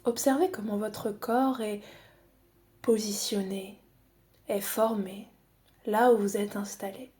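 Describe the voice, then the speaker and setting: A woman guiding a body scan meditation in a calm, unhurried, soothing manner with long pauses. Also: mid-range voice. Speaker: psychologist guiding a relaxation exercise.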